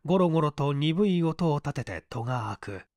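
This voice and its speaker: An audiobook reader giving a measured, dramatic narration of literary fiction. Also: medium pitch, animated, a little mellow, no room sound, clean studio sound.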